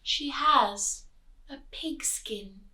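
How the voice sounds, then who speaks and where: A woman teacher reads a rhyming story to children with a high, lively, sing-song delivery, taking her time over each line. Her bright voice sits back from the mic in an echoey room. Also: very slow, distant mic. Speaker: teacher reading aloud. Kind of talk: expressive storybook read-aloud for children.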